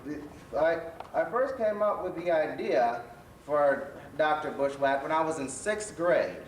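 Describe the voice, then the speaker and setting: A man's voice: fairly high pitch, animated, measured pace. A man playing a jovial storytelling professor who hosts a children's stage show with slightly stumbling delivery. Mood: genial. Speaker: host. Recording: roomy, distant stage recording with faint noise.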